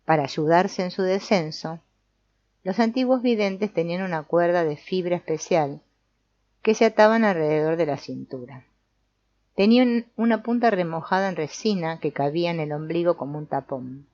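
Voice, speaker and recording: A low-voiced woman narrates an audiobook in a dull, slightly lo-fi recording. The sound is very dry, with hardly any room around it. She reads in a measured, explanatory manner, as if setting out a lesson from the page. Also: animated, darkish tone, dry recording. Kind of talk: scripted narration, reading a book aloud.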